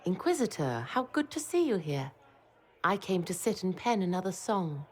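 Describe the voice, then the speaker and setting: A woman's voice: on the low side, very animated, normal speed, darkish tone. A woman playing a gracious, courteous young tavern singer in a video game, with a lilting delivery. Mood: pleasant, curious.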